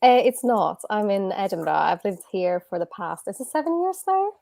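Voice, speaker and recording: A woman's voice: medium pitch, big pitch swings, moderate pace, creaky, darkish tone, no room sound, clean studio sound. A woman from Sweden who writes about whisky, speaking on a video call as an enthusiastic, friendly guest. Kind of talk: interview answers. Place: home in Edinburgh, on a video call.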